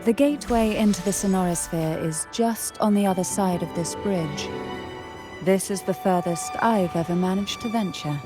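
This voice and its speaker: A woman playing a poised, secretive noblewoman in a video game cutscene, speaking in a formal, measured, cryptic and grave manner. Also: medium pitch, over music, natural voice.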